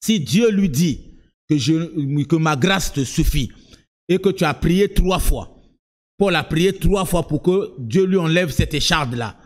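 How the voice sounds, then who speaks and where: Guy preaching in french thats not his first language, kinda high voice, stumbles and repeats, then sings out the big lines. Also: expressive, measured pace. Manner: emphatic, swings from low asides to high sung-out cries; repeats words and restarts, talks to the listener directly. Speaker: apostle preaching. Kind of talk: sermon.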